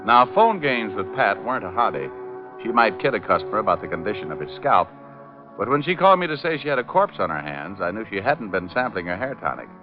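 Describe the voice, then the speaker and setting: An actor playing a glib, flirtatious private detective who narrates his case with deadpan quips in vintage radio style. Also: on the low side, fast talker. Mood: wry narration. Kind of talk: 1940s-50s radio detective acting, hardboiled narration. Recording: muffled old-time radio recording with music.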